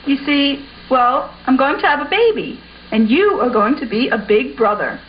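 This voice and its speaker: A woman playing a gentle, proud mother in an amateur puppet show. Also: high-pitched, expressive, moderate pace. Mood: tender, announcing. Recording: muffled audio with faint background noise.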